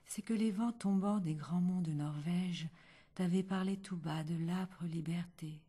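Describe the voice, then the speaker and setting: Woman whispering a poem, low gravelly husky voice, slow. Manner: hushed, half-whispered delivery. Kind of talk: whispered poetry recital.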